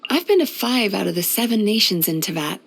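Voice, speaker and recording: Casting: ageless female dream spirit and healer for a fantasy game; soothing, courteous, caring counsellor manner, mid-pitched. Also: big pitch swings, fairly quick, creaky, slightly rough, heavily acted. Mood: chatty, thoughtful. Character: gentle, caring centuries-old female dream-eating spirit therapist. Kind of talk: video game character voice lines.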